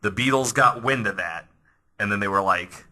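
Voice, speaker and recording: Bright, forceful low-voiced man, podcast host. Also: normal speed. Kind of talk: casual storytelling podcast talk.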